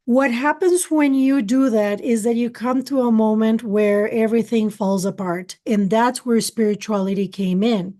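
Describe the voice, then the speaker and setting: A woman's voice: a little high, moderate pace, few pauses, mellow. A middle-aged woman from Costa Rica whose first language is Spanish, telling her life story earnestly as an interview guest. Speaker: guest, spiritual channeler. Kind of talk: interview answers, personal story.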